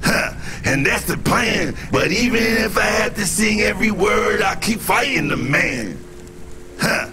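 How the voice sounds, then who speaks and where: A man's voice: sits high, animated, a little slow, creaky, loud music behind the voice, exaggerated voice. A man playing a defiant anti-establishment street singer in a game, delivering rhymed protest in a sing-song, declamatory way. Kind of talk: sung, rhyming protest speech in a game.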